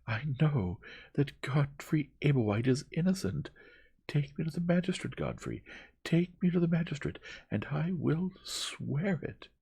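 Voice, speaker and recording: Man acting a frantic high-pitched Victorian young lady. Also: sing-song, moderate pace, vocal fry, darkish tone, husky, heavily acted. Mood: vehement, indignant. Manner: vehement, dramatic, emphatic. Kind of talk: dramatized audiobook reading.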